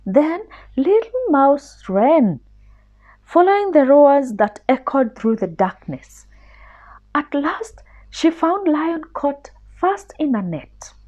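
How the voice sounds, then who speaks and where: Lady reading a kids story real slow, high lively teachery voice, slightly muffled recording. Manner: deliberate, teacherly. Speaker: children's story reader. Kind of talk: expressive read-aloud storytelling for children.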